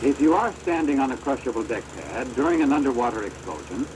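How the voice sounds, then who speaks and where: An American man giving formal, measured instructional narration for a training film, on a deteriorated old projector soundtrack. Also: mid-range voice, sing-song, noisy background.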